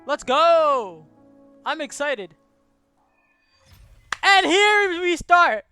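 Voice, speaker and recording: A woman's voice: extremely high pitch, very animated, extremely slow, sharp tone, dry recording, faint music behind. A woman streaming a video game with exuberant, casual, sweary live commentary. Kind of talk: excited live gameplay commentary.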